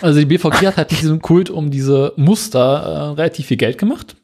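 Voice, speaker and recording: Male podcast co-host, slightly husky, relaxed and dry as he talks through news. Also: fairly high pitch, brisk. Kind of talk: casual news chat.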